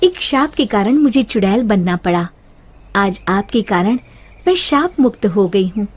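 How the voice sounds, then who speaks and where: A gentle, grateful storybook fairy, voiced by a woman. The medium-pitched voice is expressive and gracious, with a smile in it. Music plays along. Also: a little slow, breathy edge. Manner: gracious, smiling delivery. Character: gentle, grateful female fairy granting a boon.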